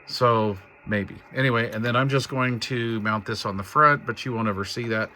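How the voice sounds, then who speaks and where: A man's voice: mid-range voice, moderate pace, bright, forceful tone, a little hiss or hum. A man hosting a hands-on DIY radio build video, chatting casually in a rambling, folksy way with informal slang. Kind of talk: casual hands-on tutorial chat.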